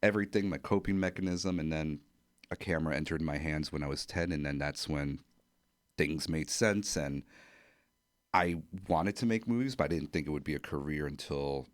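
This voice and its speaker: A man's voice: extremely low pitch, normal speed, dry recording. A man from New York, a podcast guest, chatting casually about himself with dry, wry humour. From New York State (Brooklyn, upstate). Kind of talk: casual chat, personal recollection.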